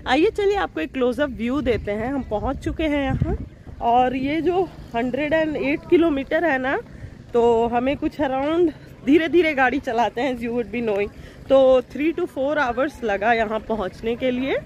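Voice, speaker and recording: A woman's voice: high-pitched, unhurried, darkish tone, slight background noise, low-quality recording. A woman in her forties chatting casually through a travel vlog, switching between Hindi and English, filmed on a phone held at arm's length outdoors. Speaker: vlogger. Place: outdoors by a river and inside a car. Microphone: phone camera at arm's length.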